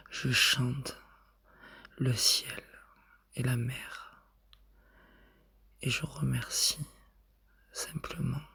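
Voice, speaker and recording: A man reciting spoken-word poetry in short, measured phrases, with a husky, breathy voice that often drops to a whisper. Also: mid-range voice.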